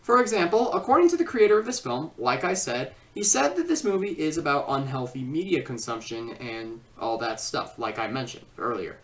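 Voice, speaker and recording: A film reviewer giving an informal critique in a halting way, often repeating and rephrasing, recorded at a distance from the microphone. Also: medium pitch, very animated, a bit bright. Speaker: video reviewer and host. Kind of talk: informal scripted film review with ad-libbed asides.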